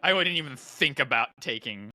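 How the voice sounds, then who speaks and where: A man co-hosting a podcast in casual chat, explaining things haltingly and repeating his words as he goes. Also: mid-range voice, expressive, sharp tone, no room sound, poor audio. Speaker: podcast host.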